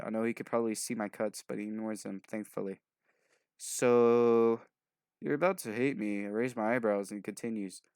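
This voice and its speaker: Low, creaky-voiced man reading aloud. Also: dry recording. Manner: stumbling over words, mumbled skimming; offhand asides. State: sleep-deprived. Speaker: video host doing a fanfic reading. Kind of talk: loose, improvised reading aloud.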